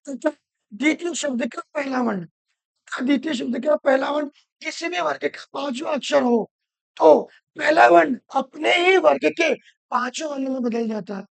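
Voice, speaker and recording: A woman teaching Hindi grammar, hoarse from a cold, asking questions and answering them herself while repeating the rules loudly. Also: high voice, no room sound. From Rajasthan. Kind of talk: classroom-style lesson.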